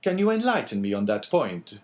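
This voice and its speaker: A man reading an audiobook voices a calm, earnest priest in a solemn, measured way. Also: fairly low pitch. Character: calm, earnest middle-aged male priest.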